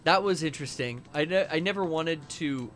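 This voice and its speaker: A man's voice: a little high, normal speed, a bit bright. Man on a game stream, casual profane rambling, desk mic, faint music behind. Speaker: streamer and DJ, co-host. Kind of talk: casual stream chat while gaming.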